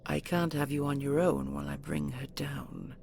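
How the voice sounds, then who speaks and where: A woman playing a possessive, seductive dragon queen in an intimate audio roleplay, slow, doting and imperious, with a breathy, gravelly whisper. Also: rumbling low, big pitch swings, a little mellow, slight background noise, exaggerated voice. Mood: commanding, doting.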